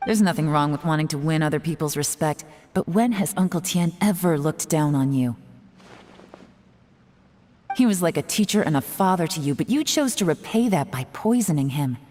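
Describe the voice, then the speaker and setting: A woman voicing a self-assured, calculating female agent in a video game, with measured, coolly taunting delivery. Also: lively intonation, a little mellow. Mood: cool, accusing. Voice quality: low-pitched. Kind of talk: scripted game voice acting. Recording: long reverb, faint music behind.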